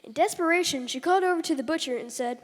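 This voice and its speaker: Woman playing high-pitched corny comic husband, echoing hall. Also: fast talker, lightly acted. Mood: corny joking. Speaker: comedy double-act performer. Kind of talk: old-time radio stage comedy banter. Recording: long echoing hall reverb.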